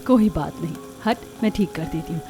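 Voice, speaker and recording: A woman plays a gentle, dutiful village housewife in a cartoon story. She speaks in a homely, helpful way with soft music behind her. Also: on the low side, expressive, a little fast. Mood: concerned, reassuring. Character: gentle, dutiful village elder daughter-in-law. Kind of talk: Hindi cartoon story dialogue.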